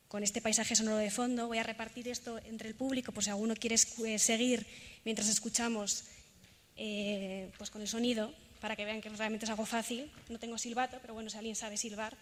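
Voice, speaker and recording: A woman with a Spain Spanish accent gives an informal presentation to an audience, hesitant and pausing often with fillers. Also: on the high side. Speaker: presenter giving a talk and demonstration. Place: large echoing indoor event space. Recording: reverberant hall, clear background noise.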